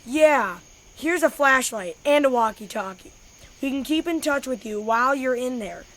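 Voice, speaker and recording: A woman's voice: high-pitched, animated, moderate pace, fairly bright tone. A young female-sounding performer playing an easygoing, joking boy in an amateur radio drama. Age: child.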